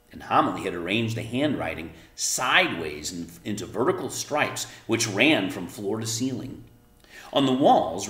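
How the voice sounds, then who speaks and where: A man reading a storybook aloud as narrator, with expressive, emphatic phrasing and casual explanatory asides. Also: lowish voice, reverberant.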